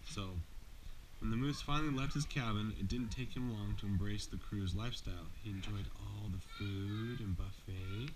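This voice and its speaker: A man reading a bedtime storybook aloud to his child, as a father does. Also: lowish voice. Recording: noisy amateur home recording.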